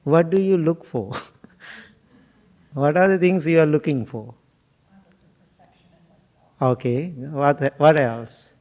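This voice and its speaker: Monk from sri lanka, talks slow and easy, kinda high voice, jokes with the crowd. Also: male voice, a little mellow. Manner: slow, musing, jokes and asks the audience questions. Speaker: Buddhist monk teacher. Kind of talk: informal dharma talk to a group.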